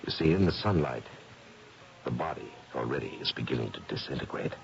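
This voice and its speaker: An actor playing an older, gray-haired occult investigator in a 1970s radio drama, theatrical and probing, with deliberate dramatic pauses. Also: male voice, extremely low pitch, expressive, vocal fry, heavily acted. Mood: solemn, philosophical. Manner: deliberate, dramatic pauses with hesitant lead-ins. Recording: muffled, noisy 1970s radio recording.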